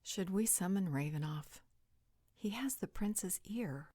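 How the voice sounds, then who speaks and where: A woman's voice: mid-range voice, expressive, slow, mellow, roomy sound, in a large hall. A woman playing a werewolf's loyal, wry and protective mate in a roleplay audio drama. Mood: urgent, firm.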